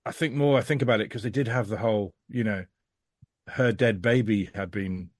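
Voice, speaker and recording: A man's voice: on the low side, normal speed, no room sound, studio quality. A man giving a casual podcast review as a guest, speaking in a rambling, emphatic, frank way.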